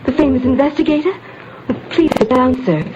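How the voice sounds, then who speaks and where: An actress playing a nervous young secretary in a 1940s radio drama, anxious and breathless. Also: female voice, high-pitched, fairly quick, close to an everyday voice. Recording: dull lo-fi old radio recording with hiss.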